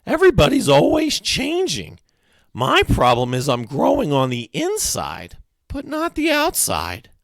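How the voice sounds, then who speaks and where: A small, precocious storybook boy, played by a man, pitched well up and swooping widely. He is bossy and excitable, speaking in grand, pompous phrases as if he knows far more than everyone around him. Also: measured pace, creaky, no echo, clean studio sound, heavily acted. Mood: earnest, eager.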